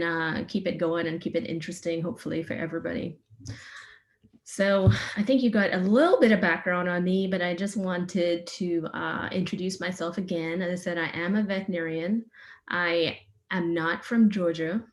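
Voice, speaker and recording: Trinidadian woman, lowish voice, friendly. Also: fairly even intonation, moderate pace. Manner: friendly, enthusiastic, some fillers. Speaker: veterinarian and researcher giving a talk. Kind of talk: informal science presentation. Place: remote online session.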